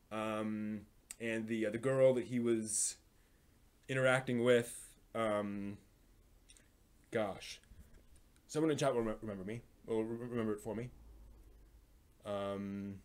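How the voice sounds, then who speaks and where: A man hosting a live stream, chatting casually and giving recommendations in a halting way, often thinking aloud. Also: lowish voice, fairly even intonation, darkish tone, clean tone. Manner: halting, thinks aloud, hums filler syllables while searching.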